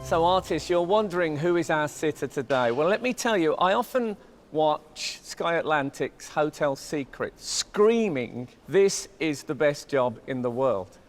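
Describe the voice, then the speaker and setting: A man in his fifties hosts with playful, chatty banter, his voice sitting a little high for a man. He teases and jokes with the people around him. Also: expressive, unhurried. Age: 50s. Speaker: host. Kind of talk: casual chat.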